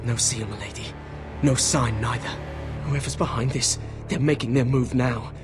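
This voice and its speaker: An actor playing a loyal, deferential servant in a video game, with music underneath. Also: male voice, mid-range voice, normal speed, close to an everyday voice. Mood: urgent. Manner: urgent, deferential.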